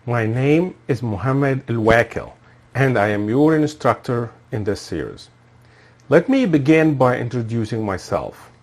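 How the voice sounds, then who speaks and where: A man's voice: mid-range voice, lively intonation, darkish tone. A man in his thirties from Egypt, giving a formal, scripted self-introduction as a course instructor. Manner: formal, measured, reads from a prepared script. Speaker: assistant professor and course instructor.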